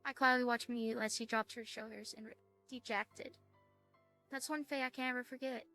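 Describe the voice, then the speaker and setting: A woman hosting a gaming video, chatting casually and reading game text aloud in a halting way that repeats words. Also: on the high side, steady tone, pushed and bright, soft background music.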